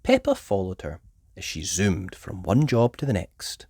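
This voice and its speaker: A woman reading a children's story aloud with expressive, emphatic narration. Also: a bass voice, measured pace, husky, no echo, clean studio sound. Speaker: storybook reader.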